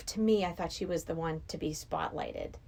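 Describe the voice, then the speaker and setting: A woman's voice: low voice, moderate pace, vocal fry, darkish tone. A middle-aged woman from Massachusetts, a podcast guest chatting casually and enthusiastically about movies. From Massachusetts, United States.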